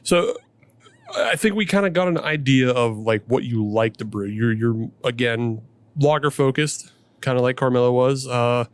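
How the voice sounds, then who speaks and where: A man hosting a casual podcast interview, laid-back and quick to laugh, with casual swearing. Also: mid-range voice, dry recording. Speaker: podcast host.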